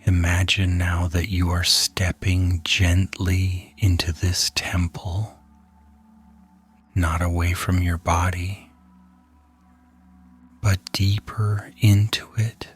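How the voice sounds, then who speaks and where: A man leads a scripted guided sleep hypnosis in a soft, breathy, often near-whispered voice, soothing and hushed, with lingering pauses between phrases. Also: sits low, flat delivery, faint music behind.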